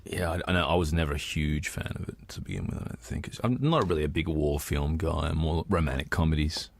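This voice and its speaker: A man's voice: a bass voice, quick pace, vocal fry, no room sound. A man chatting casually as the co-host of a podcast. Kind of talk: casual chat.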